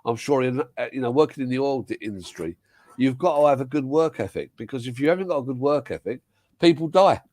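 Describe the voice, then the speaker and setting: A man's voice: mid-range voice, lively intonation, fast, darkish tone, dry recording. Blunt swearing older British man. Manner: blunt, swears casually, frequent restarts. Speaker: host. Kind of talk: casual live-stream chat.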